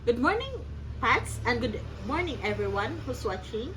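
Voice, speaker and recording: Female Filipino student presenter, lively pitch, scripted, recorded far from mic with noise. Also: normal speed. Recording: distant mic, clear background noise.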